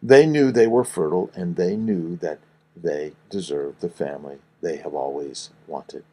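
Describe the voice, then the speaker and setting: Low, husky man reading gently. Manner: slow, soothing, earnest delivery with falling phrase endings. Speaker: fertility doctor reading his book. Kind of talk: reading aloud from his own book.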